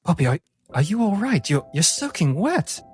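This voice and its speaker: Man voicing a high-pitched, flustered, motherly madame. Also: sing-song, normal speed, faint music behind. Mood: flustered, caring. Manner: stammering, fussing, endearing terms. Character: flustered, kindly madame who runs a bordello, woman. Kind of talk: tabletop game character voice.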